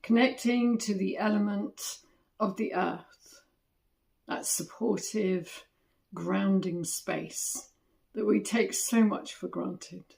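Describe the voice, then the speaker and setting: Slow, gravelly woman guiding meditation. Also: medium pitch, steady tone, rough recording. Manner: soothing, gentle, with long pauses between short phrases. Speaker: meditation teacher. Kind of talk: guided body scan meditation.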